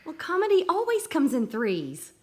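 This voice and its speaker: A woman's voice: high-pitched, huge pitch swings, normal speed, a little mellow. A woman playing a scatterbrained, playful studio musician in scripted comic radio banter, hesitant and flighty. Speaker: show musician.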